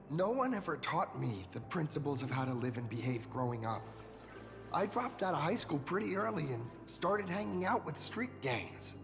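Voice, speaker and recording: A humble young rookie hero from a video game, earnest and unsure. The voice is high and lively with a crackle of fry. There is long reverb and music behind it. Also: male voice, full character voice. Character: earnest, modest, self-doubting young rookie hero, reformed delinquent. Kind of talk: animated game voice acting.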